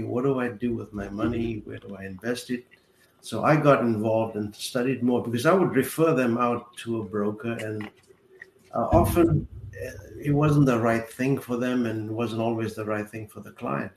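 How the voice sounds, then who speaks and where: A man in his sixties, a retirement adviser guest explaining finances in a measured, methodical way over a video call. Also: mid-range voice, darkish tone. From South Africa. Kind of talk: explanatory interview answers. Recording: roomy call audio with faint hiss.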